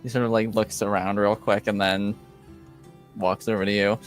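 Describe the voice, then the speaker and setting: A man's voice: on the low side, level tone, normal speed, soft background music. A man narrating as game master of a tabletop game, easygoing. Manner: easygoing, laughs often.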